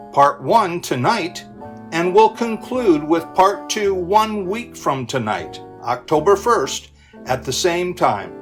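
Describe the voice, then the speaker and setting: A radio announcer gives a scripted, formal introduction. The pace is slow and measured, the pitch moves widely, and plucked guitar plays softly behind.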